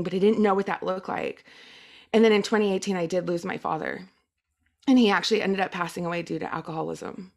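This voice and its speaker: A woman's voice: medium pitch, gravelly. Middle-aged female guest speaker, first language Spanish, mid-range voice, earnest and emphatic personal storytelling. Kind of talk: personal testimonial talk.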